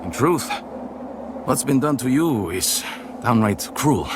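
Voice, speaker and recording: Casting: pompous, wheedling nobleman for a fantasy game, theatrical and highly animated. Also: slow, background noise. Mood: pompous, boastful. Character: pompous, self-important nobleman, wheedling and condescending. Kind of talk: dark fantasy game character acting.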